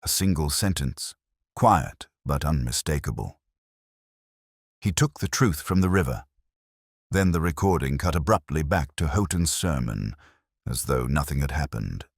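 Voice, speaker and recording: A man narrating a literary detective mystery in the first person, in a measured and solemn way. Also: very deep voice, animated, vocal fry, slightly rough, no room sound, clean studio sound.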